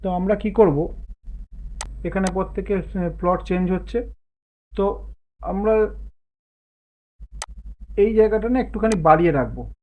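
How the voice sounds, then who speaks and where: A man giving casual step-by-step software instruction, thinking aloud as he works. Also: high-pitched, a little slow, long pauses. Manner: explains while working, thinking aloud. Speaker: tutorial host. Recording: muffled phone-like narow-band sound.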